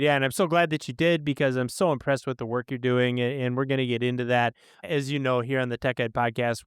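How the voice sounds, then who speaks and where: Effusive male podcast host, very clear voice. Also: medium pitch, a bit bright, no echo, clean studio sound. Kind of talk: interview introduction with personal anecdote.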